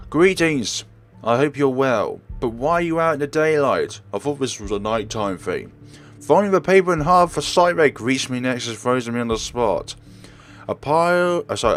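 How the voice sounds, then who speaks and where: A man narrating a horror game as a let's player, reading the script aloud theatrically and adding his own commentary. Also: medium pitch.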